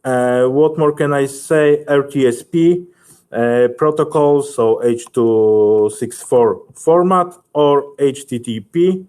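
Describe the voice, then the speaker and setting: A man answers questions in a technical webinar. He speaks very slowly at a medium pitch. His delivery is unscripted and hesitant, with frequent searching for the next word. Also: a little mellow. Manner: halting, hesitant, searching for words.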